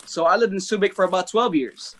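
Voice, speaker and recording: A man raised in the Philippines tells stories on a podcast, animated and rambling, often restarting his sentences. His voice sits a little high for a man. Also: a little fast. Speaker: guest. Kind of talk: casual storytelling.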